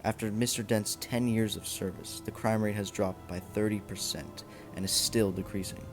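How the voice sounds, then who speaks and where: A man acting a calm, suave male vigilante in an amateur radio play. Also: on the low side, moderate pace, over music, close to an everyday voice. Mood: earnest, measured.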